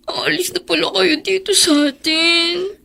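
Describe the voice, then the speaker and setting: Bright, very high young Filipina friend. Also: female voice, steady tone, unhurried, vocal fry, heavily acted. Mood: tearful, affectionate. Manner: sympathetic, sentimental. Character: tender, loyal young female best friend. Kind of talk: Filipino radio drama acting.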